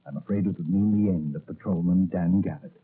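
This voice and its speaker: Low, husky, fatherly old doctor. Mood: grave worry. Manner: measured, worried, hesitant. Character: cautious, fatherly male doctor, secret advisor to hero. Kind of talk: 1940s radio drama acting. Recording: muffled vintage 1940s radio recording.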